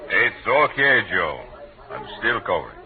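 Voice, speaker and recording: Over a tinny vintage radio recording with a loud crowd comes an oily, shifty club boss. His lowish voice swings widely as he speaks broken English with slippery politeness, jovial and watchful at once. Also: very animated, a little slow. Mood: wary, placating. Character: shifty foreign-accented male gambling club boss, jovially wary. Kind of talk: 1940s radio drama acting.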